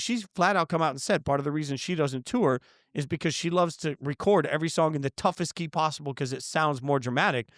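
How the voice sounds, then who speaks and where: Animated male podcast guest, fairly high voice. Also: no room sound, studio quality. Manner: animated storyteller, lots of fillers. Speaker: sportswriter guest. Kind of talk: casual interview storytelling.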